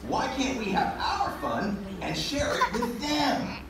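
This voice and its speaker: Echoey, excitable woman playing an upbeat puppet animal. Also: high-pitched, slow pace, heavily acted. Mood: excited. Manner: excitable, theatrical, stammering. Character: eager, upbeat towel-animal puppet leader named Roar. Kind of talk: live puppet show acting. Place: large indoor show room, filmed from audience. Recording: echoey hall from far off, noisy audience recording.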